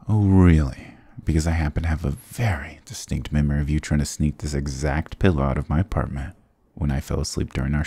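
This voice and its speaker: Very deep, gravelly flirty goth guy, acted by a man. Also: warm tone, no room sound, heavily acted. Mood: playfully indignant. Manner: teasing, affectionate, mock-dramatic. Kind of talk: intimate ASMR roleplay acting.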